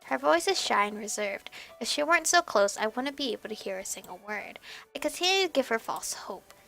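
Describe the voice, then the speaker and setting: A woman reading a visual novel aloud as expressive narration, with animated reactions and some stumbling over words. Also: a little high, fairly quick, creaky. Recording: background music behind voice. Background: game music.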